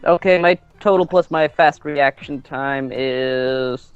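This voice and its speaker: A man's voice: mid-range voice, slow, clear, resonant tone, dry recording, dull audio, faint music behind. A man playing a tabletop game, chatting casually and hesitantly as he thinks aloud.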